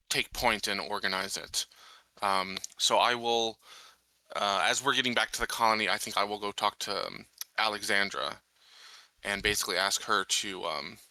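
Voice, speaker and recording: A man playing a tabletop roleplaying game, thinking aloud casually in halting phrases. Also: fairly low pitch, sharp tone, no room sound.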